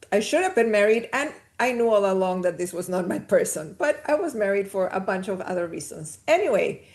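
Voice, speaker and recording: Guest, woman from Chile, medium voice, conversational storyteller, laughs easily. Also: medium pitch. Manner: chatty, storytelling, laughs mid-sentence. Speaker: podcast guest. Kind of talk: casual conversation.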